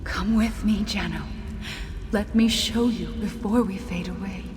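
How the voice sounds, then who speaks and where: An actress voicing the remorseful ghost of a loving mother in a video game, earnest and sorrowful in measured speech. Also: medium pitch, steady tone, noisy background, natural voice. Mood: tender. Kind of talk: dramatic video game dialogue.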